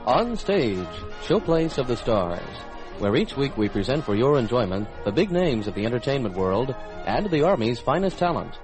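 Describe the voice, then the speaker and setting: A man's voice: medium pitch, big pitch swings, moderate pace, music in the background, poor audio. Formal American radio announcer man. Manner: formal, polished announcer delivery. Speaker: radio program host and announcer. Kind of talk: mid-century military radio announcing, scripted narration.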